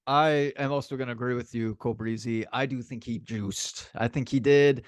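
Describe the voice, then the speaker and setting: A man's voice: mid-range voice, steady tone, moderate pace, no echo, studio quality. A man co-hosting a sports podcast in casual chat, speaking emphatically and repeating phrases for effect.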